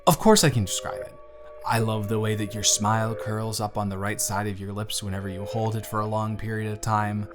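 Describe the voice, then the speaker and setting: A man playing a tender, reassuring young boyfriend in an intimate roleplay audio, speaking in a gentle, affectionate, soothing way. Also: fairly low pitch, little pitch movement, fairly quick, close to an everyday voice. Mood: sincere, affectionate. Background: instrumental music.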